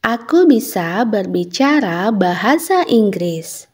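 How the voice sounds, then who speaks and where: A young woman teaching children English, saying each phrase slowly and clearly, repeating it, then translating it. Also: mid-range voice. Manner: clear, deliberate, lively intonation.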